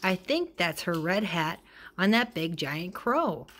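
A woman voicing excitable young witch girls from a storybook, calling out in singsong exclamations. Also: fairly low pitch, slow pace, a little hiss or hum, heavily acted. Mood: excited, calling.

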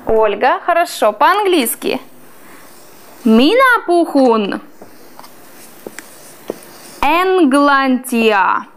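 A woman in her thirties teaching a language class in a small classroom, slowly and clearly drawing out foreign words. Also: sits high, very animated, a bit bright, a little hiss or hum. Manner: slow, clearly drawn-out pronunciation of foreign words.

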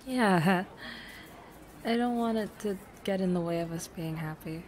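A woman's voice: medium pitch, unhurried, a little mellow, close to an everyday voice. A woman playing an affectionate, teasing young girlfriend on a secret cafe date in an intimate roleplay audio, speaking casually with hesitant restarts. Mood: earnest, tender.

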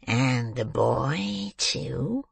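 Slow, croaking, crafty old villain. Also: male voice, mid-range voice, animated, no echo, full character voice. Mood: oily, wheedling. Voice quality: croaking, breathy. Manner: slow, drawn-out, scheming delivery. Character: crafty, wheedling, vicious old male criminal boss.

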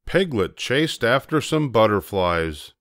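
An older man narrating a children's story expressively, with deliberate pauses for effect. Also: medium pitch, very animated, fairly bright tone, no echo, clean studio sound.

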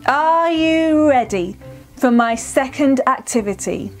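A woman's voice: high-pitched, animated, slow, soft background music. A woman in her thirties or forties presenting scripted storytelling for children, enunciating carefully and encouraging listeners.

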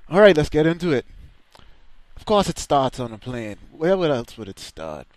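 A man's voice: fairly high pitch, big pitch swings, normal speed, darkish tone, dry recording. A man streaming a game, reading its text aloud with crude, mocking jokes, his accent a mix of British, Caribbean and American. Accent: mixed British, Caribbean and American.

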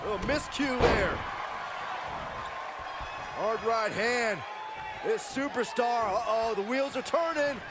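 A man's voice: very high-pitched, takes it slowly, pauses often. A man playing a dramatic wrestling colour commentator in a video game, drawing out his words for emphasis. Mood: excited. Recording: loud arena crowd noise behind voice. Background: arena crowd and ring thuds.

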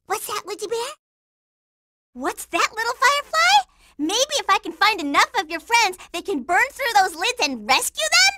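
A woman voicing a cute, sweet-natured little girl in a cartoon video game, squeaky and childlike, with a singsong, gushing manner. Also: sing-song, normal speed, very noisy. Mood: sweet, cheerful.

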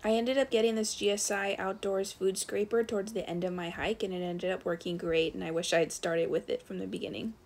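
A woman narrating a casual review of her thru-hiking gear, relaxed, with frequent fillers as she recommends items. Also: medium pitch, a little fast, vocal fry, few pauses.

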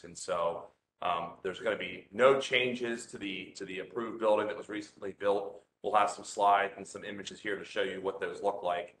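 A man, a land use attorney, walking a community meeting through slides, loud-voiced and casual, with many fillers and restarts. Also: lowish voice. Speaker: land use attorney presenting a rezoning case. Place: community meeting room, standing to speak. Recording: echoey meeting room, faint noise.

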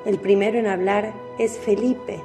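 Slow, solemn woman narrator. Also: medium pitch, steady tone, music in the background. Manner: solemn, measured. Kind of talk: scripted radio drama narration.

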